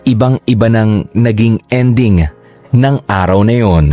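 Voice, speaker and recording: Male narrator, low voice, confiding and gossipy telling. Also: a little slow, dark tone, soft background music. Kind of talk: first-person story narration.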